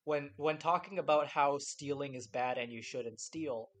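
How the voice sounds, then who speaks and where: A man's voice: a little high, moderate pace, fairly bright tone. A man hosting a podcast in a casual chat, rambling and playful with lots of restarts. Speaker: podcast host.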